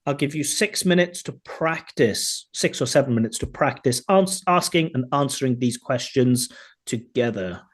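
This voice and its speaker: A man teaching an online exam class, chatty and lively, acting out sample answers. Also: medium pitch, moderate pace. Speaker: IELTS teacher. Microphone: video call.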